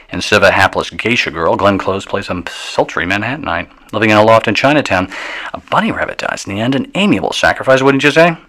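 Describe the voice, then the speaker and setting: An actor playing an eccentric, provocative radio DJ in a radio drama, rambling wryly and verbosely through a theatrical live opera broadcast. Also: male voice, on the low side, pushed and bright, heavily acted. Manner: verbose, wry, dramatic, drawn-out musing.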